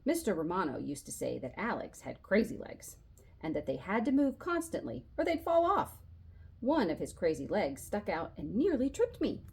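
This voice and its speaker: A woman reading a children's novel aloud as an expressive storyteller, narrating in the voice of a boy and acting out the dialogue. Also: mid-range voice, normal speed, breathy edge. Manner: animated, acts out dialogue.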